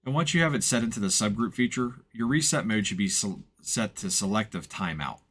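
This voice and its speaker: A man narrating an informal software walkthrough in a plain-spoken, explanatory way, hedging as he goes. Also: lowish voice, fairly quick, husky. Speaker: tutorial presenter and firefighter.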